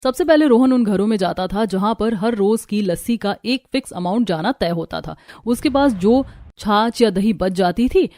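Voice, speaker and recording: A woman's voice: mid-range voice, lively intonation, moderate pace, warm tone. A woman reading a scripted story as narrator. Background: music.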